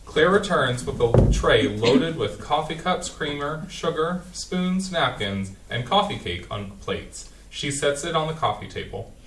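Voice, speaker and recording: A person reading stage directions aloud in a plain, even way during a staged play reading. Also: mid-range voice, unhurried, few pauses, slight background noise. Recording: distant voice on an echoey stage.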